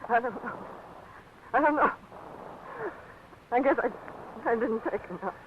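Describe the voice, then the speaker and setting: A woman's voice: fairly high pitch, unhurried, lightly acted. An actress playing a frightened, weakened young woman in 1940s radio acting, on a tinny old radio broadcast with hiss. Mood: dazed. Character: frightened young woman, weak after overdose.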